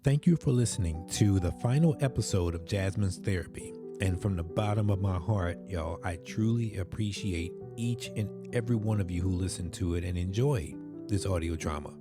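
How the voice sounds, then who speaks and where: Male presenter for drama credits, very low pitch, clear music bed underneath. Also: normal speed. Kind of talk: closing credits thank-you.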